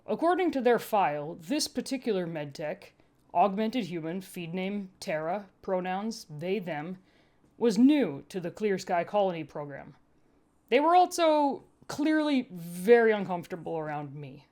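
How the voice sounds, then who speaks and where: A woman's voice: lowish voice, big pitch swings, unhurried, a little mellow. A woman narrating a first-person story as a podfic reader, with a dry, wry delivery.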